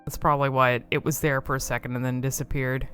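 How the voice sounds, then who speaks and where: A woman's voice: rumbling low, flat delivery, fast talker, a bit bright, soft background music. A woman giving casual, off-the-cuff commentary on a video game in a rambling, informal, amused manner.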